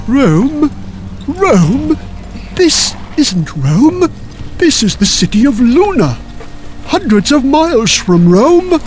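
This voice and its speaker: A man's voice: sits high, expressive, takes it slowly, a little mellow, a little hiss or hum. A man voicing a kindly, gullible bishop in a storybook tale, speaking in an earnest pastoral way. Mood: bewildered.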